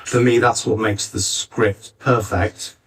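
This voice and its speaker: A man's voice: lowish voice, steady tone, slow, slightly rough. A man in his late fifties talking informally as a panelist at a live discussion. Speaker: panelist, playwright and theatre director. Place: arts centre theatre, live panel. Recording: distant, varying-quality backup live recording.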